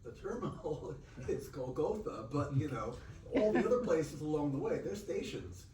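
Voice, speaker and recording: A man's voice: a little high, very animated, moderate pace, darkish tone. A man making informal, unscripted remarks from the audience at a book event, explaining things in a pedantic, digressive way. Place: indoor event room. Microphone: distant room microphone. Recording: echoey, noisy room from far off.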